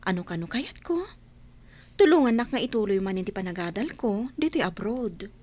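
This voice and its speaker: A woman with a Filipino accent playing a grateful, sweet young working student in a radio drama, with expressive delivery. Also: medium pitch, moderate pace. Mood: happy, grateful. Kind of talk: Ilocano radio drama acting.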